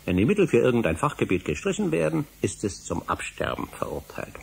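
A man's voice: mid-range voice, wildly swooping pitch, fairly quick, vocal fry, breathy edge, full character voice. An actor playing an obsessive middle-aged male scholar in a German radio drama, speaking emphatically and rhetorically. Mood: urgent. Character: obsessive older male scholar, insistent and indignant.

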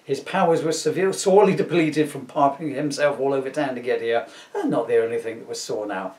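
A middle-aged man reading a comic story aloud in an animated storytelling style. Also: medium pitch, fairly quick. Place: small room at home. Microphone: camera a couple of metres away. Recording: roomy, distant camera microphone.

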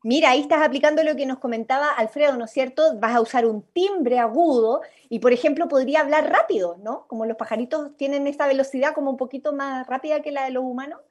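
A young Chilean-accented woman with a high-pitched voice leads an online workshop. She is informal and encouraging as she gives instructions over a video call. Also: quick pace, few pauses, darkish tone. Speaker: workshop host. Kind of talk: interactive online creative workshop.

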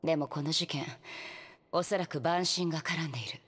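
A woman voicing a calm young leader of an adventurers' order in a Japanese video game, measured and explanatory. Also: sits low, husky. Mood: composed, businesslike.

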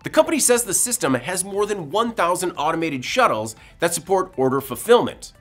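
A man in his forties presenting scripted news narration in a measured, emphatic way with dry asides. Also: high-pitched, very animated, a bit bright. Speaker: video news presenter, editorial director. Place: indoor studio. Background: music.

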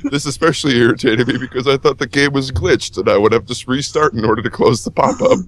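A man's voice: medium pitch, fast talker, slight background noise. A man narrating a horror story with exaggerated drama, for laughs. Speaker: reader of a creepypasta. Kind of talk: comedic dramatic reading.

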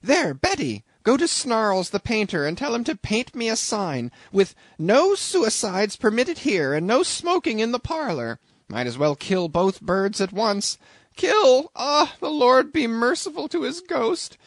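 A man voicing a bustling middle-aged landlady who scolds and frets in a shrill, exclamatory way. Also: sing-song, measured pace, dry recording, exaggerated voice. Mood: frantic.

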